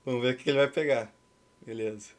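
A man's voice: mid-range voice, steady tone, fast, a crowd in the background. A man in his thirties with a Brazilian accent, chatting casually and ironically as he hosts and commentates a game stream. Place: indoor room at home.

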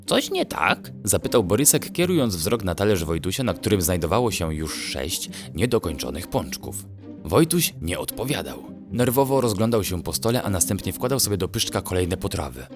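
A man's voice: low-pitched, very animated, a little fast. A man reading a scripted children's bedtime story as its narrator. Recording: music under the voice. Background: music.

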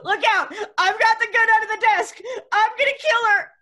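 An actress playing an unhinged wife possessed by a vengeful spirit, in melodramatic old-time radio horror style with archaic turns of phrase. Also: female voice, extremely high pitch, moderate pace, heavy background noise. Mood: terrified, frantic. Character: unhinged woman torn between terrified wife and vengeful old-world spirit. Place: home room, remote video call.

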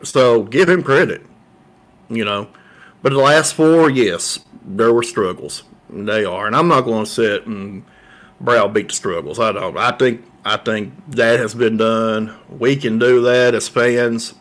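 A man's voice: lowish voice, unhurried, fairly bright tone. A man, hosting a local sports talk show, rambling with lots of fillers and repeated words into a studio mic. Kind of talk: casual sports talk monologue. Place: small room set up as a coffee shop, indoors. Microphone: large studio mic on a boom arm.

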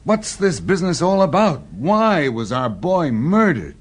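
A man's voice: on the high side, very animated, measured pace, slightly rough, exaggerated voice. An actor playing a blunt, impatient father in a radio drama. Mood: indignant. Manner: blunt, challenging, colloquial. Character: blunt, impatient American father of murdered son.

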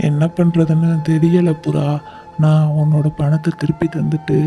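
A man's voice: a little high, monotone, normal speed, creaky, warm tone. A man voicing a meek, hardworking male crow fruit seller in a cartoon, mild and apologetic. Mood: weary. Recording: light music bed.

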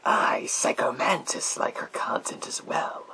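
Man doing a creepy whispering psychic villain. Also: pushed and bright. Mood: probing, sly. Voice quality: whispered, breathy and creaky. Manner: theatrical, drawn-out, eerie. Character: menacing male psychic villain in a gas mask. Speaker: ASMR roleplayer. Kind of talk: ASMR roleplay, slow theatrical whisper. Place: small indoor room with bookshelves.

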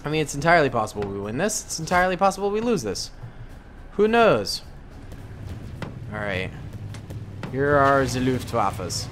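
A man's voice: mid-range voice, very animated, normal speed, pauses often, fairly bright tone, a little hiss or hum. A young man casually commenting on his gameplay in a playful, jokey way, with slang. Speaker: gaming YouTuber.

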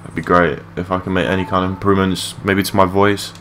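A young man with a low voice giving rambling, matey, sweary gameplay commentary in a casual unscripted way. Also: little pitch movement, fast talker, fairly bright tone. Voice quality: low-pitched voice. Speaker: gaming video creator. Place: his room at home. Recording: clearly noisy home mic recording.

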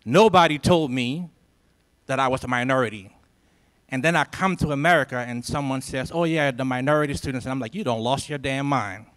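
A man's voice: medium pitch, a little fast, fairly bright tone. A man in his forties from Liberia, giving a rousing motivational speech to students with a handheld microphone in a large lecture hall, in a preacher-like cadence full of emphatic repetition. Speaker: symposium speaker.

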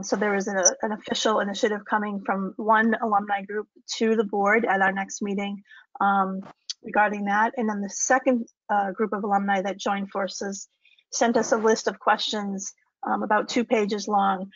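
A woman giving a measured report as a school board chair in an online meeting. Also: mid-range voice, no room sound. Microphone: video call. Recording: dry, dull video-call audio.

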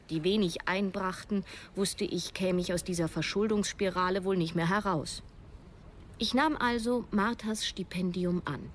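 A woman's voice: on the low side, slight background noise. An actress playing a disillusioned, sceptical writer in her thirties, narrating and acting in a radio drama with sober, wry, literary phrasing. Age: 30s. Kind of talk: first-person radio drama narration and dialogue.

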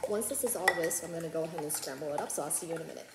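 A young woman in her twenties giving a relaxed, chatty cook-along talk to camera in her home kitchen, with frequent "um" and "go ahead". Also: low voice, racing, vocal fry. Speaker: cooking vlogger. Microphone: camera on a tripod across the counter. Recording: echoey kitchen with background music.

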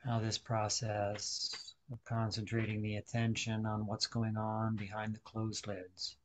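A man leading a guided meditation, speaking deliberately in short instructional phrases with pauses. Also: lowish voice, monotone, slight background noise.

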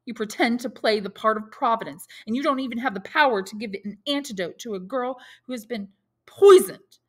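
A woman's voice: lowish voice, a little fast, fairly bright tone. A woman voicing a passionate, despairing young man in a storybook, with declamatory, wounded and defiant outbursts. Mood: angry reproach.

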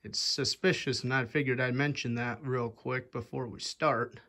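A man's voice: mid-range voice, steady tone, normal speed, bright, forceful tone. A young man hosting a casual fan-collecting video, rambling offhand with many "anyway"s, filmed on a hand-held phone in a rafter-roofed attic. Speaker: ceiling fan collector channel host. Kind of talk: casual fan demo vlog. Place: attic or shed with exposed wooden rafters, indoors. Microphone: phone camera held by hand, pointed up at a fan.

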